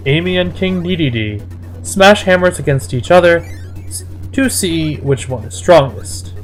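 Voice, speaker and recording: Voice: mid-range voice, lively intonation, a little slow, soft background music. A person reading fan fiction and its reviews aloud in a mocking dramatic style, breaking off into exasperated asides.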